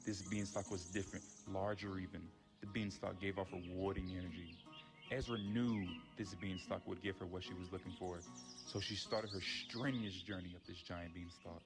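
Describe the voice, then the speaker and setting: A man's voice: sits low, fairly even intonation, normal speed. A man reading a scripted fairy tale as a storyteller. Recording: music bed under the voice.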